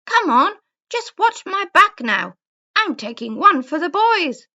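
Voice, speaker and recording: Lady doing a boastful boy woodpecker for a kids tale, loud, pitched way up. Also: big pitch swings, a little slow, dull audio, exaggerated voice. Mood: cocky boasting. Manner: loud, bragging. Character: cocky, boastful male woodpecker.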